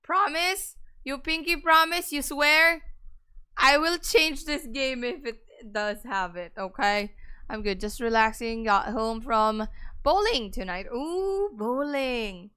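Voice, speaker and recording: A young woman with a raspy voice chatting casually with her viewers on a gaming stream, greeting chat and laughing often. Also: high-pitched, takes it slowly, bright, forceful tone.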